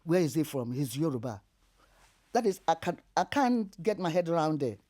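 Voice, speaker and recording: Woman, older, Nigerian accent, mellow warm tone, animated storyteller. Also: low voice, normal speed. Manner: animated storytelling, laughs while telling hard memories. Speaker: interview guest. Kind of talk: recounting her life story.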